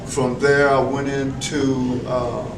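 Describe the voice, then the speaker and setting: An older man speaks slowly in a level, even tone, pausing often with fillers as he gives formal, measured answers. He sits some distance from the mic in an echoey school room. Clear background noise hums under his voice. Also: medium pitch. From Texas. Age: 70s. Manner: measured, many filler pauses, formal phrasing. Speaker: veteran school educator, interviewee. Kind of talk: reflective interview answers.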